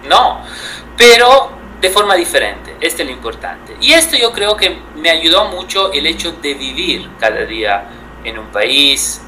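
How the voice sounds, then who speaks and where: A man's voice: on the high side, bright, forceful tone, slight background noise, low-quality recording. A man in his thirties from Italy, speaking Spanish with an Italian accent, answering interview questions thoughtfully with frequent hesitations. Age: 30s. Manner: thoughtful, hesitant, many fillers and restarts. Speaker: guest photographer.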